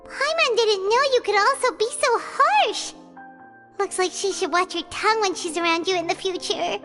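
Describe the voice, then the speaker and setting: A woman's voice: extremely high pitch, moderate pace. A woman voicing a tiny, chirpy female fairy companion who talks about herself in the third person, in a video game. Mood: teasing. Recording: game audio with loud music.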